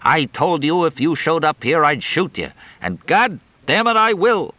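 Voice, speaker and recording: Narrator putting on an enraged old man yelling threats, high and strained. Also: sing-song, unhurried, no room sound, lo-fi sound, exaggerated voice. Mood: furious. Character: furious lanky old man with shotgun.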